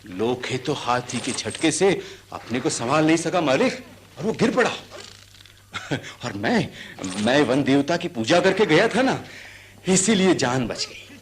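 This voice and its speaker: High-pitched, deferential elephant catcher. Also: male voice, takes it slowly, a little hiss or hum. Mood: excited recounting. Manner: servile address, vivid excited recounting. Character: deferential, earnest male elephant catcher, eager storyteller. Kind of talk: Hindi radio drama acting.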